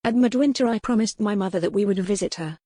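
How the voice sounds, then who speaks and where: A woman's voice: mid-range voice, quick pace, mellow, no room sound, studio quality, lightly acted. A woman playing a proud young noblewoman. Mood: polite, guarded. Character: young noblewoman, proud captive wife, earnest and sharp-tongued.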